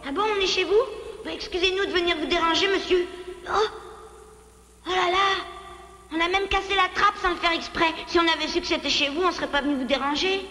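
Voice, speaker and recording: An actor voicing a plucky, polite and curious little beaver child in a French animated cartoon, with a frank, animated manner. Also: very high-pitched, fairly quick. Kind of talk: French animated series dubbing.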